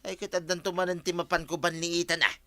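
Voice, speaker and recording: A man's voice: sits high, level tone, quick pace, fairly bright tone. A man playing a cheeky, brazen petty thief who turns whiny, in an audio drama, with an Ilocano accent. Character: cheeky male tilapia thief, brazen, whining when caught.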